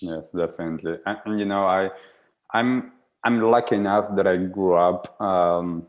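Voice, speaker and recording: A man's voice: sits low, steady tone, normal speed, lo-fi sound. A man from Argentina, a native Spanish speaker, hosting a podcast and musing reflectively with many fillers. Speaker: podcast host. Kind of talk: conversational podcast interview.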